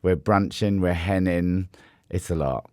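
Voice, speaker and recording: A man, a drag queen co-hosting a podcast, with a croaky, hoarse voice, chatting in giggly, playful banter. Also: sits low, a little slow, a little mellow, dry recording, clean studio sound. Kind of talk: casual banter.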